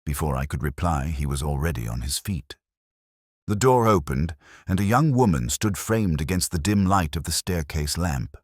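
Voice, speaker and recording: A man with an extremely deep voice narrates a literary story. His delivery is scripted and varied in melody, with a husky grain, recorded dry and close. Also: lively intonation, moderate pace, no room sound.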